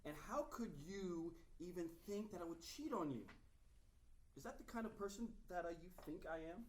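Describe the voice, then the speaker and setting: A man's voice: high-pitched, animated, moderate pace, slight background noise. A man playing a self-important, easily hurt boyfriend in a dramatic comedy table read. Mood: hurt, indignant. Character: vain, wounded boyfriend, self-pitying and theatrical. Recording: echoey room, distant mic.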